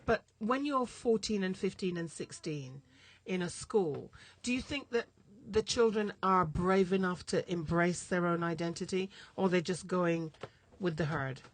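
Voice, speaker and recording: A woman's voice: on the low side, unhurried, hardly any pauses. A woman from England hosting an interview, asking thoughtful questions with an English accent. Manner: thoughtful questioning, supportive murmurs. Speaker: host. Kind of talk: casual interview.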